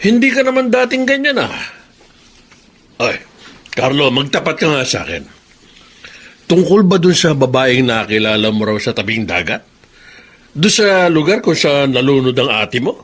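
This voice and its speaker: A man's voice: mid-range voice, very animated, moderate pace, long pauses, fairly bright tone. A Filipino man acting in a radio drama as a caring, probing middle-aged father who coaxes and teases his grown son. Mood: concerned, probing.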